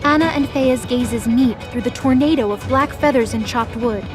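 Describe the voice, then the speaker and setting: A woman's voice: on the high side, moderate pace, over music. A woman reading scripted, dramatic story narration as the narrator of an audio drama.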